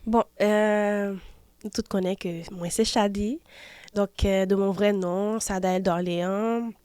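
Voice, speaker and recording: A woman's voice: medium pitch, steady tone, slow, warm tone, clear, resonant tone, dry recording. A woman in her twenties from Haiti with a Haitian French accent, a singer answering interview questions hesitantly, with many fillers. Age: 20s. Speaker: singer guest. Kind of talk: casual interview.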